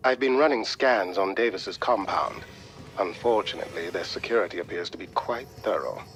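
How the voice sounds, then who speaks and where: A composed military adviser briefs an agent in a game. His voice is on the low side, formal and measured, with faint noise underneath. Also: fairly bright tone. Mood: composed, informative. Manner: measured, formal, matter-of-fact. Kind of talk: video game mission briefing.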